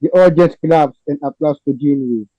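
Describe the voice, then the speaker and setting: A man's voice: fairly high pitch, normal speed, dark tone, no echo. A man narrating stage directions in an amateur table read, recorded dry and a little dull. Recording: dull lo-fi, dry close sound.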